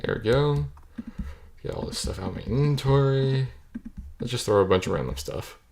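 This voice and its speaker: A young man talking casually while he games, thinking aloud and correcting himself mid-sentence. His voice is gravelly with plenty of fry, and it cracks because he feels a bit off, picked up by a desk mic at home. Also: medium pitch, expressive, moderate pace, darkish tone, slight background noise. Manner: rambling, self-correcting, talks to himself. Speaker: gamer streaming a play session.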